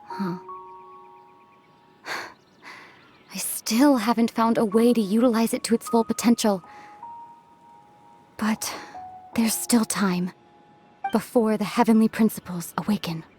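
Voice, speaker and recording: A woman voicing a gentle but resolute, world-weary young wanderer in a video game cutscene, speaking softly in a measured, pensive way. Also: medium pitch, close to an everyday voice. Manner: soft, measured, pensive, with sighs and pauses. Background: background music.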